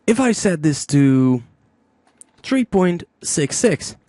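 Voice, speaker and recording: Man giving a lively, dry-sounding tutorial. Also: on the high side, takes it slowly, no room sound. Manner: informal, thinking aloud. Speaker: music production tutor. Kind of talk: software tutorial narration, explaining step by step.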